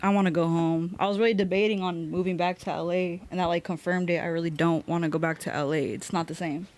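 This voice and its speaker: A woman tattoo artist guest with a Central Coast Californian accent, chatting casually and animatedly on a podcast. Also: on the low side. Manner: animated, slangy, quick asides. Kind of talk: casual chat.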